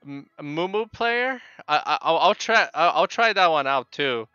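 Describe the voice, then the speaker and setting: A middle-aged man with a Polish accent chats casually with viewers while gaming, in a halting way that often repeats words. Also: on the high side, animated, bright, forceful tone, smooth, no room sound, soft background music. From Poland. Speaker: game streamer. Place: small home room with bookshelves. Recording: dull, lo-fi microphone sound.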